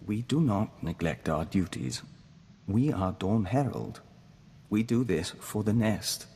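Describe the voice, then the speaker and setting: An actor playing a calm, dutiful diplomat bound to a hive mind, speaking formally in video game dialogue. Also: male voice, lowish voice, slightly rough, long reverb tail, full character voice. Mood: earnest, subdued. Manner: formal, measured, speaks of himself as we.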